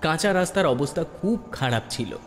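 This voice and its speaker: A man's voice: on the high side, lively intonation, normal speed. A man narrating a ghost story in the first person, in a dramatic storytelling style. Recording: long reverb with music bed. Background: background music.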